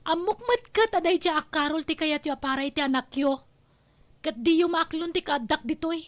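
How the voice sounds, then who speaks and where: A woman's voice: high voice, normal speed, lightly acted. A woman playing a spurned, scheming young ex-lover in a radio drama, taunting and confrontational. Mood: defiant, taunting. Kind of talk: Ilocano radio drama acting.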